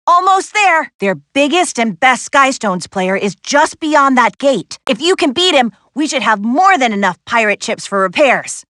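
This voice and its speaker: A woman voicing a spirited, capable young woman adventurer in an animated video game, with an American accent and a wry, sassy, instructive way of talking. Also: high-pitched, dry recording, clean studio sound, full character voice. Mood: upbeat, encouraging. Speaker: video game character voice actress.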